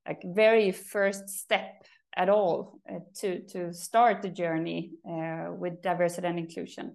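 A woman's voice: lowish voice, little pitch movement, unhurried, gravelly, a little mellow. Woman, podcast host, asks questions, encouraging, frequent yeahs, conversational.

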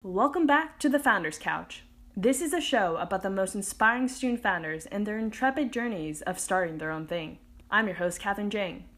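A woman's voice: mid-range voice, lively intonation, moderate pace, fairly bright tone, faint music behind. A woman in her twenties, host of a podcast, reading a scripted intro and interviewing a guest in an upbeat way. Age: 20s.

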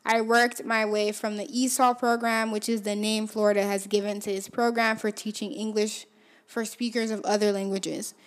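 Young woman from Haiti, native Creole speaker, reflective podcast storyteller, mid-pitched voice with soft trailing endings. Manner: reflective, often drops to a soft low trail at phrase ends. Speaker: podcast host. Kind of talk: personal storytelling.

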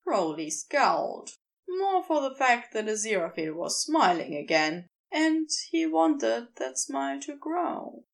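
A woman's voice: sits high, sing-song, a little slow, gravelly. A woman narrating scripted fiction as a storyteller.

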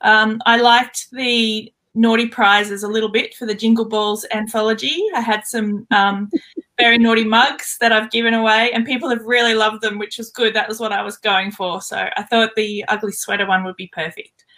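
A woman with an Australian accent, a guest author chatting casually in a friendly, relaxed way. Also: a little high, normal speed. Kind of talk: casual podcast chat.

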